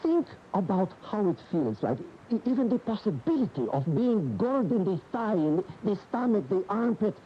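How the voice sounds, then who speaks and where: A man acting in a radio drama as a weary, brooding, intense bullfighter, halting and earnest, repeating his words. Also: high voice, very animated, a little hiss or hum. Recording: muffled radio broadcast tape.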